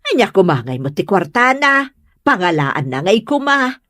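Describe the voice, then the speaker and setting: A woman playing a chatty, expressive village woman in a comedy radio drama. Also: medium pitch, moderate pace, no room sound. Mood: gossiping.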